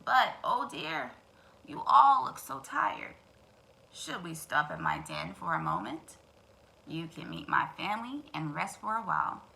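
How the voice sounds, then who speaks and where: A woman voicing a sly, oily male fox from a children's storybook, slow and coaxing with feigned kindness. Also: medium pitch, expressive, sharp tone, pitched below the performer's own voice, heavily acted, poor audio. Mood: sly, inviting. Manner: slow, drawn-out, smoothly coaxing.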